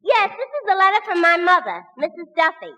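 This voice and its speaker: Very high, bright radio comedy sidekick. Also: female voice, big pitch swings, brisk, full character voice. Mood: cheerful. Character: Mary, cheerful gag-cracking female sidekick. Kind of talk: 1940s radio comedy acting. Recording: noisy, reverberant vintage radio recording.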